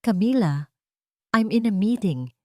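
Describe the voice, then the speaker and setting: A woman's voice: medium pitch, expressive, a little slow, warm tone, no echo, studio quality, voice pushed up, natural voice. A woman voicing an irritated, impatient wealthy husband in a Tagalog audio drama.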